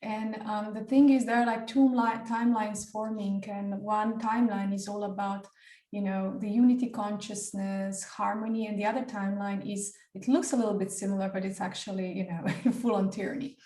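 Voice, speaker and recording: A woman's voice: medium pitch, fairly even intonation, normal speed, warm tone, echoey room, distant mic. A woman from Slovenia, a podcast guest talking casually about spiritual topics, often hesitating and restarting. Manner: hesitant, many fillers and restarts.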